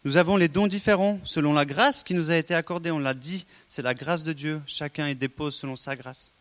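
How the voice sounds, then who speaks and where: A man's voice: on the high side, brisk, fairly bright tone. Earnest male preacher. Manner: earnest, exhorting, with rhetorical questions. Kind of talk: sermon.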